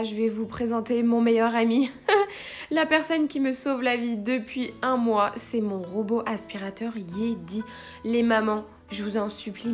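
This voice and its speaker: A young woman in her twenties speaks in a slightly high, chatty voice-over for a home cleaning vlog. She praises a product with eager enthusiasm, and soft music plays quietly behind her. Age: 20s. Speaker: vlogger, young mother. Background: faint background music.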